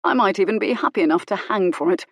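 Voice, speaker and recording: A woman voicing a gentleman hero who threatens with glacial politeness. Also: medium pitch, fast talker, dry recording, studio quality, close to an everyday voice. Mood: icy menace. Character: cold, menacing young gentleman hero, icily polite.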